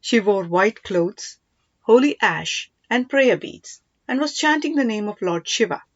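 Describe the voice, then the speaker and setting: Female audiobook narrator, mid-pitched, scripted storytelling, close dry sound, slightly muffled. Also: lively intonation, unhurried, no room sound.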